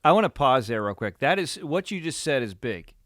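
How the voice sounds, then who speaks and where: A man hosting an interview chats with his guest and keeps affirming what he hears. His voice is mid-pitched, and the recording is dry and close, with no room sound. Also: big pitch swings, fairly quick, a bit bright, no echo. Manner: affirming, with frequent "mm-hmm" backchannels. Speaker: podcast host, interviewer. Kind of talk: conversational interview.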